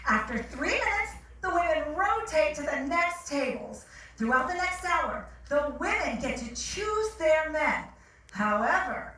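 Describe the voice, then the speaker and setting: A woman's voice: high-pitched, pushed and bright, heavily acted. A woman on stage playing a grand, mock-gracious and commanding speed-dating hostess, heard from far off in a very echoey theatre. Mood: grandly welcoming. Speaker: actor in a staged reading. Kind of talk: theatrical announcement to a room. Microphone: far audience camera. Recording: very echoey theatre, distant mic.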